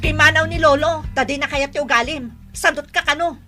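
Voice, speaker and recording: A female actor as a sharp-tongued young wife in an audio drama. Her voice is extremely high, bright and forceful. She nags and answers back combatively. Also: brisk, soft background music. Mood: scolding. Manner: nagging, combative. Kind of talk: Philippine audio drama acting.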